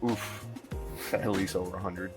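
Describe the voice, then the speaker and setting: A man from Miami with a lowish voice talks casually as an interviewed bar owner. He is laid-back and slangy, like someone chatting with a fellow bartender. Soft music plays behind him in the bar. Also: steady tone, measured pace. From Miami, United States. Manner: laid-back, slangy, says dude and bro. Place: inside a bar.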